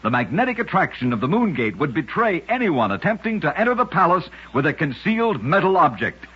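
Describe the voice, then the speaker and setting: A man tells strange true tales in the style of old-time radio. His voice sits fairly high and is very husky, with emphatic, dramatic phrasing. The recording is muffled and dated. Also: very animated, brisk. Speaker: radio host and storyteller. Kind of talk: old-time radio scripted narration of curious facts.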